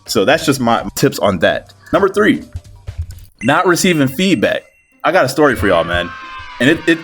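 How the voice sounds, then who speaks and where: Male online creator, mid-range voice, casual and slangy, self-deprecating advice-vlog delivery, music underneath. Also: mid-range voice. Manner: slangy, bro-heavy, animated storytelling and self-deprecating. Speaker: video creator giving game development tips. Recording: music behind the voice. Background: background music.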